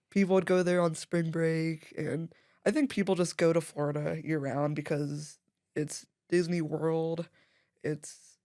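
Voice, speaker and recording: A woman hosting a podcast, chatting casually and laughing often. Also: sits low. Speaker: podcast host. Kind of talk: casual conversation.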